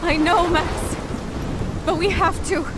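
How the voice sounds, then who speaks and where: A woman's voice: very high voice, fairly even intonation, takes it slowly. An actress playing a tough, loving young punk woman with an American accent, blunt and earnest in an emotional video game drama. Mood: tender, tearful. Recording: loud storm, rain and thunder behind. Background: rain, thunder, wind.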